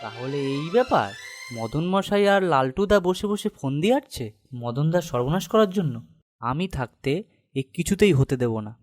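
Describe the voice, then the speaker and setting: A man's voice: fairly high pitch, expressive, moderate pace, darkish tone, no echo, natural voice. A man acting a loyal, righteous villager in a cartoon, speaking in an earnest, urgent manner. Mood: indignant resolve. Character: loyal, righteous young villager warning his friend. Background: music.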